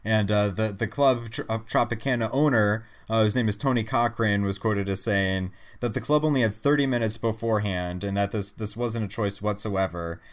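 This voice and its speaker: A man's voice: fairly low pitch, moderate pace, few pauses. A man co-hosting a podcast, giving casual news commentary in a conversational, restarting way. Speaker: podcast co-host.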